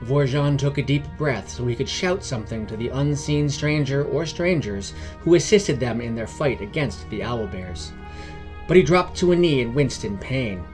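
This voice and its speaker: A man's voice: mid-range voice, expressive, normal speed, darkish tone, poor audio. A man hosting and narrating a scripted fantasy adventure podcast. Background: background music.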